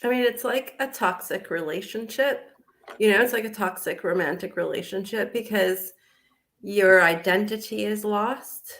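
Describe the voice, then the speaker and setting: Animated American woman, laughing guest. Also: medium pitch, moderate pace. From United States. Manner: animated, laughs often, many fillers. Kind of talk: casual interview chat.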